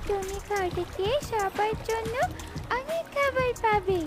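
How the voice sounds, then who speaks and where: A performer voicing a gentle, earnest young water fairy in a children's cartoon. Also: very high voice, a little slow. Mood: gentle, reassuring. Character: gentle stranded young water fairy mermaid, grateful and generous. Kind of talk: dubbed children's cartoon dialogue. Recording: loud music and water sounds behind. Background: music, splashing water.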